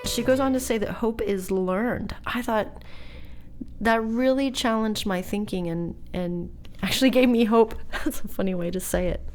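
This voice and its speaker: A woman's voice: mid-range voice, normal speed, a little mellow. A woman in her fifties hosting a podcast, chatting casually and confessionally, laughing often. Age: 50s. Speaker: podcast host. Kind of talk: casual chat.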